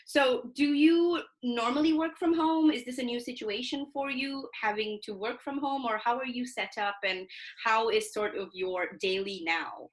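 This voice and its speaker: A woman's voice: a little high, moderate pace. A woman hosting a chat show over a video call, upbeat and friendly as she introduces and questions her guest. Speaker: host.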